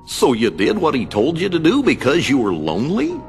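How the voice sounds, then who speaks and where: High, goofy cartoon dog. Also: male voice, huge pitch swings, a little fast, darkish tone. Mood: kindly. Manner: folksy, goofy drawl. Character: goofy, kindly, gangly cartoon dog sidekick, male. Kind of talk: animated game cutscene acting. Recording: long echoing reverb with music.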